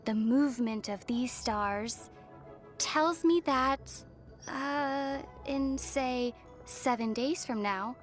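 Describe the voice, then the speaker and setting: A young female adventurer from a video game, gentle and thoughtful. Her voice is high and girlish, delivered softly in a measured, musing way, with music underneath. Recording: music behind the voice.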